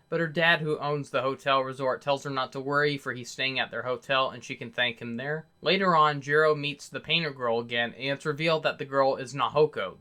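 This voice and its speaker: A man's voice: mid-range voice, sharp tone. A man narrating a scripted video review as the reviewer, in an earnest, opinionated manner.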